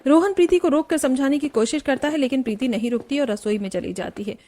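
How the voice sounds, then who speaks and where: A woman's voice: high-pitched, fast talker, dark tone. A woman reading scripted narration for a cartoon family story.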